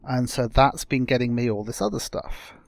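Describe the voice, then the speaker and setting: A young man hosting a casual gameplay video, chatting and rambling through his progress with a slightly croaky voice. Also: mid-range voice, moderate pace, no echo, soft background music. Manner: rambling, self-correcting, chatty. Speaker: let's play host.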